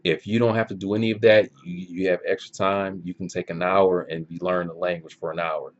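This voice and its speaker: A man with a deep, low-pitched voice chats loosely and informally, working out his answers to viewers as he talks. The recording is dull and lo-fi. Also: level tone, a little fast. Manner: easygoing, thinks aloud, loose and informal. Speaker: language-learning channel host answering viewer questions. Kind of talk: casual unscripted chat. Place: filming himself on camera at home.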